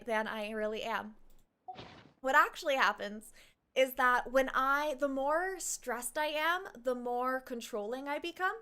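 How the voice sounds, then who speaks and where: A woman's voice: a little high, a bit bright, smooth. A woman streaming a game and talking casually, in a reflective, rambling, slangy way as she reacts to it. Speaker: live streamer playing a game.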